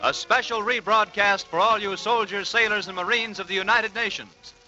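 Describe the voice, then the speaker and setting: Very high-pitched male radio announcer. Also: steady tone, moderate pace. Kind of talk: 1940s radio show announcement. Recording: muffled vintage radio recording. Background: loud music.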